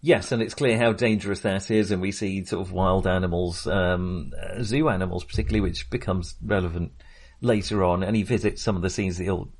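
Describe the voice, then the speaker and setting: A man's voice: low voice, fairly even intonation, brisk, darkish tone, husky. A man co-hosting a casual film discussion, thinking aloud in a rambling, hesitant way. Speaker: podcast co-host.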